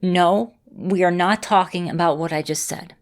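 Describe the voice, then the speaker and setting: A woman plays a vampire mistress in an ASMR roleplay. Her voice sits on the low side, and she talks straight to the listener like a caring, composed teacher, choosing measured, formal words. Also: natural voice. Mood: flustered. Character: female vampire mistress, caring, composed mentor, easily flustered. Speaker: voice actor in a roleplay audio.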